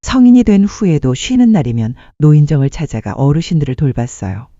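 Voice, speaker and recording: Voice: mid-range voice, expressive, a little fast, dark tone, no room sound, muffled recording. A narrator telling a scripted dramatic life story in an earnest way, posing rhetorical questions.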